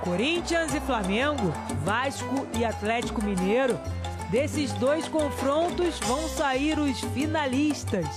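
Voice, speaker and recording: A woman reading a sports news report as a voice-over, in a measured and clear news delivery. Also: high voice, expressive. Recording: voice-over with music underneath.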